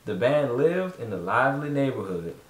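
A man's voice: mid-range voice, big pitch swings, normal speed. A man in his thirties, a father casually reading a storybook aloud to his children at home. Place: carpeted room in a home, indoors. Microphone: distant camera microphone. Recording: roomy echo from a distant camera.

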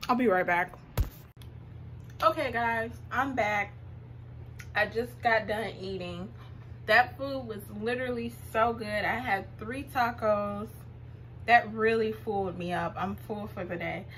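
A woman's voice: medium pitch, a little slow, a bit bright, a little hiss or hum, poor audio. A young adult woman talking informally and chattily, as in a casual cooking vlog. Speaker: vlogger.

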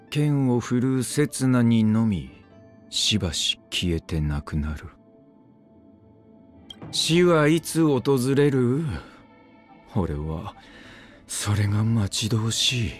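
A man voicing a brooding, weary immortal swordsman in a game, speaking in terse, clipped phrases. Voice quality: low, curt, grim. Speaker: game character voice lines. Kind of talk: game voice-line acting.

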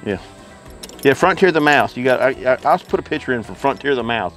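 A man's voice: medium pitch, animated, fairly quick, fairly bright tone. Easygoing middle-aged man outdoors with music. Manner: easygoing, self-deprecating, rambling with restarts. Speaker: homestead vlogger. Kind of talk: casual narration while working. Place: outdoors at a sawmill in the woods.

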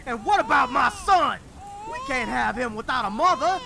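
Male actor as pleading husband, agitated, stammering, animated intonation, audio drama, storm noise behind. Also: very high-pitched, normal speed, creaky, a crowd in the background, full character voice. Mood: angry, panicked. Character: frantic, pleading husband devoted to his wife.

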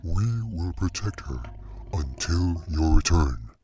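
A man doing a slow, deep bear king. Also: animated, warm tone, husky, dry recording, full character voice. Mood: solemn. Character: huge gruff bear king, protective.

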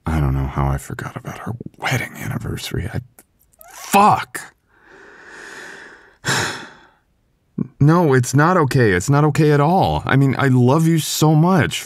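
A man playing a sweet, apologetic, devoted young husband in an intimate boyfriend ASMR roleplay, with a halting, tender, self-deprecating delivery. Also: mid-range voice, big pitch swings, no room sound. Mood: remorseful, then upset, self-blaming.

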